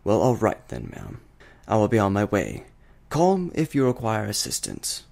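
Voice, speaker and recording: A man's voice: on the low side, huge pitch swings, normal speed, vocal fry, darkish tone, exaggerated voice. A man voicing a stuffy, protective royal pony advisor in a fantasy story, formal and easily flustered. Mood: huffy, formal.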